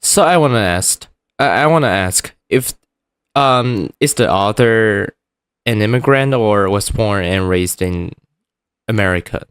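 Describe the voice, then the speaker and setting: A young man with a Taiwanese accent co-hosting a podcast in casual conversation, speaking haltingly and repeating phrases. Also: on the low side, lively intonation, clear, resonant tone, no room sound. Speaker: podcast co-host.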